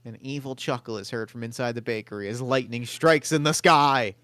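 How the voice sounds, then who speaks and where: Bright-voiced man reading stage directions. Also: medium pitch, dry recording. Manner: brisk, matter-of-fact reading. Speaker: narrator.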